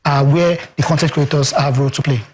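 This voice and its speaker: A man's voice: fairly high pitch, steady tone, quick pace, crowd noise. A Nigerian man with a Yoruba accent, a communications expert talking emphatically as a guest in a TV studio interview. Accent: Nigerian English, Yoruba. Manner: emphatic, rhetorical, leans on "guess what" and "you understand".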